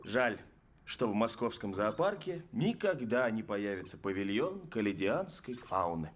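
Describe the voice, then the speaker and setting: A man playing a sceptical, protective professor and father in an animated film, with a dry, questioning way of talking. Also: lowish voice, measured pace.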